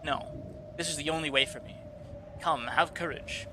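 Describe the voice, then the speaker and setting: A man reading aloud the quiet, solemn inner monologue of a brooding young male servant, with a steady hiss behind. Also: fairly high pitch, normal speed, lightly acted. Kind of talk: reading a visual novel aloud.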